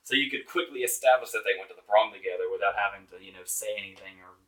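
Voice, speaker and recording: Bright-voiced, exasperated man ranting, off-mic. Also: on the low side, rapid-fire. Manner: exasperated, emphatic, sarcastic, swearing. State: voice getting hoarse. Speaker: movie reviewer, show host. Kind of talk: informal movie review rant with a co-host. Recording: distant microphone.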